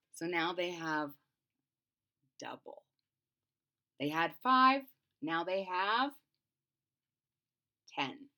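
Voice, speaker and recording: Expressive woman reading a storybook, unhurried. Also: fairly low pitch. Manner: teacherly, deliberate, emphatic. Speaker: storyteller reading aloud to children. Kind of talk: expressive picture-book read-aloud with simple explanations.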